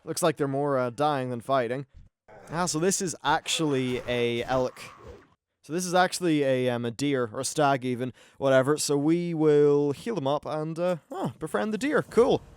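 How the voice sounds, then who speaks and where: Higher-voiced man doing game commentary. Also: moderate pace, clean tone, no room sound. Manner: informal, mildly sweary, reacting as the game unfolds. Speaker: gaming YouTuber. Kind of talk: casual let's-play commentary on an online fantasy game.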